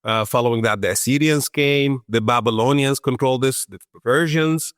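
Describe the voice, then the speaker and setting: A man from Iraq speaks as an expert being interviewed. His voice sits at an average pitch in a dry recording. He explains in a conversational way, repeating words for emphasis. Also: normal speed, a bit bright, no echo. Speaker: guest expert, conservation organization founder.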